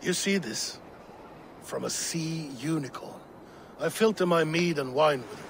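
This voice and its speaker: An actor playing a weary, suspicious middle-aged Viking warlord in a video game, grave and brooding. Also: male voice, fairly high pitch, background noise. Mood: brooding, mistrustful. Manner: grave, brooding, deliberate phrasing. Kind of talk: dramatic video game acting.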